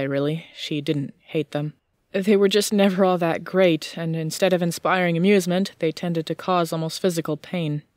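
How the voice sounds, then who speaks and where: A woman narrates fiction in a slightly low voice. Her delivery is measured and literary, recorded dry with no room sound. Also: a little mellow, dry recording. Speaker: audiobook narrator.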